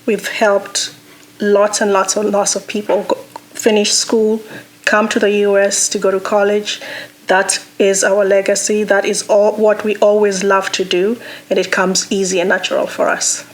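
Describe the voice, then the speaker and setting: A woman's voice: mid-range voice, flat delivery, normal speed, rough recording. A Kenyan woman, executive director of a foundation, speaking fluently and earnestly about her background and work. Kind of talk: personal account, interview-style.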